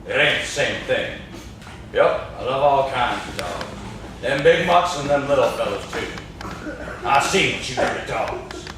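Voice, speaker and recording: A man's voice: medium pitch, lively intonation, measured pace, fairly bright tone. A young man acting in an amateur stage play as a menacing, mournful old cabin man with a folksy rustic drawl. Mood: accusing. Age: young adult. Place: classroom, indoors. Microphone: far camera. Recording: echoey classroom, distant camera mic, noisy.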